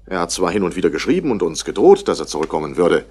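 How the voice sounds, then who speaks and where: A busy, self-important tycoon in a vintage radio crime play. The voice is on the low side and swings widely in pitch, with clipped, indignant delivery. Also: male voice, very animated, quick pace, a little mellow. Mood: indignant, disapproving. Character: brusque, busy rich Australian businessman, wool merchant's son. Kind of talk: 1960s German radio crime drama acting.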